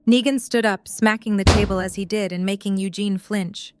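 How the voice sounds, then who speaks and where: A woman's voice: mid-range voice, steady tone, normal speed, background noise. A woman narrator reading scripted dramatic prose for an audio drama.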